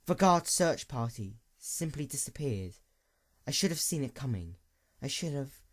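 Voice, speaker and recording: A man voicing an ancient, grieving female pony princess in a dramatic story, sorrowful, hushed and restrained. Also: mid-range voice, big pitch swings, unhurried, dry recording, clean studio sound. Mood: shocked regret.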